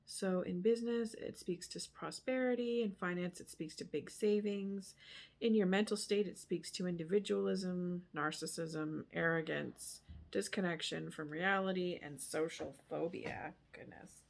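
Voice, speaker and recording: A woman giving a casual, rambling tarot reading in a candid, musing manner, sometimes trailing off into hushed asides. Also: lowish voice, normal speed, gravelly. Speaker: tarot reader.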